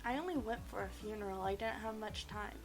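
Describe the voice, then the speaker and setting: A woman's voice: mid-range voice, a little fast, faint music behind, close to an everyday voice. A woman voicing a wry, teasing teenage schoolboy in a visual novel, with a dry manner. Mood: exasperated.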